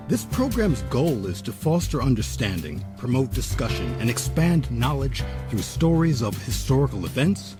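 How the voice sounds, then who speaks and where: An American man hosting and narrating a scripted history documentary in an old-time radio storytelling style, with measured, dramatic emphasis. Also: medium pitch. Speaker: host and narrator.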